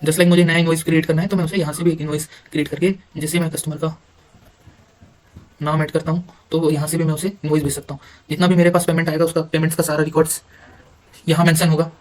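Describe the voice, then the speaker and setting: An Indian man giving an informal software walkthrough, halting at times and mixing English terms into his speech. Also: on the high side, level tone, low-quality recording. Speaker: software demonstrator. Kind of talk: informal screen-recorded tutorial walkthrough.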